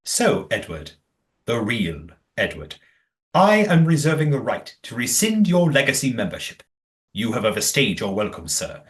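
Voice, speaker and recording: Audio play role, male actor: righteous community elder-statesman type, formal, theatrical, with animated medium-pitched delivery. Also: moderate pace. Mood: indignant. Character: righteous, well-spoken man guarding his community's history.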